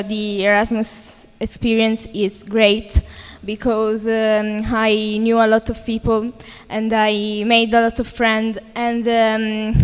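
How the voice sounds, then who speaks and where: Hesitant teen girl, smooth voice. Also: a little high. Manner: hesitant, many fillers. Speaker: student. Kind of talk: short spontaneous speech. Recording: reverberant hall.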